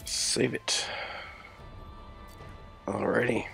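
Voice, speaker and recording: A sick man with a scratchy, rough voice commentates his game in a slow, low-key way. His voice is very deep and heavily gravelly, yet bright and forceful in tone. Game music plays faintly behind him. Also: fairly even intonation. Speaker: gameplay commentator. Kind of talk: casual gameplay commentary.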